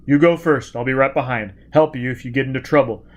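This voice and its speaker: A man voicing a rough, driven male fugitive in an audiobook, speaking in terse, forceful phrases. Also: mid-range voice, steady tone, quick pace, close to an everyday voice. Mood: urgent.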